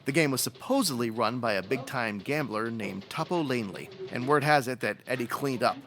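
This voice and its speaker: An actor playing a matter-of-fact police sergeant in an old-time radio detective drama, with a dry, procedural, folksy way of talking. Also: male voice, medium pitch, normal speed, fairly bright tone, soft background music, lightly acted. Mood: speculating.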